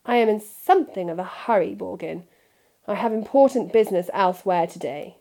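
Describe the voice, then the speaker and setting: A woman's voice: medium pitch, animated, brisk, vocal fry, dark tone. Woman reading a cold, clipped aristocrat father, disdainful. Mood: curt.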